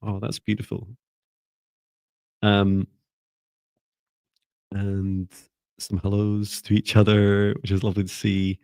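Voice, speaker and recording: A low-pitched man with a gravelly edge to his voice leads a live spiritual class online. He talks in a calm, gentle, sleepy way. He often hesitates and restarts his sentences. The sound is close and dry. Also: slow pace, pauses often, no echo. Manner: calm, soft-spoken, gentle. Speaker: spiritual mentor. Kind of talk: live online class, reading viewer comments.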